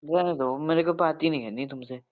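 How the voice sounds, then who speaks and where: A man's voice: on the high side, brisk, close to an everyday voice. A man playing a caring, insistent young husband who banters affectionately in a casual domestic audio roleplay, with an informal, coaxing way of talking. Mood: bantering. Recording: tinny narrow phone-like band.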